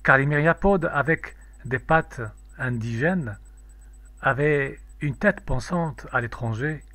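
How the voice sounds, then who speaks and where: A man reciting a poem in a measured, declamatory, solemn way. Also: mid-range voice, fairly bright tone, dry recording. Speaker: poetry reader.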